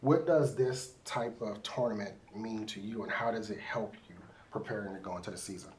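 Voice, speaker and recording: A man's voice: lowish voice, brisk, slight background noise. A man hosting a casual sports interview, chatty and loose, with lots of fillers. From Pittsburgh. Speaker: sports show host.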